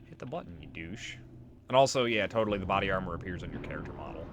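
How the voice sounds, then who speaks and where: A man's voice: sits low, lively intonation, fast, background noise. A man giving casual let's play commentary on a video game, wry and freely swearing. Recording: voice over game music. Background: game music.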